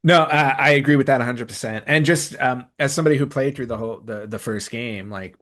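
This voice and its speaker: A man's voice: mid-range voice, brisk, fairly bright tone. A man talking casually on a podcast, sharing opinions emphatically and often restarting his phrases. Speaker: podcast speaker.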